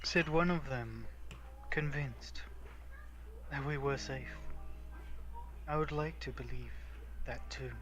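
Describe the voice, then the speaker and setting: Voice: mid-range voice, takes it slowly, pauses often. A giggly, playful game streamer who switches between casual chat and dramatic read-aloud narration, with game music behind. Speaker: streamer reading a visual novel.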